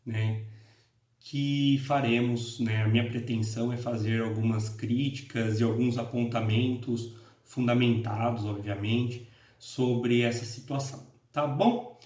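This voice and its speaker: A young-adult man lecturing on law with a northern Paraná interior accent, pausing on fillers and restarting his phrases. His voice sits mid-range and bounces around a roomy space, picked up from some distance. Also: mid-range voice, fairly even intonation, a little mellow. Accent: northern Paraná interior Brazilian Portuguese. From interior of Paraná, Brazil. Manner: hesitant, many fillers and self-corrections. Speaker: law professor and course coordinator. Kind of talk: informal recorded lecture. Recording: echoey room, mic at a distance.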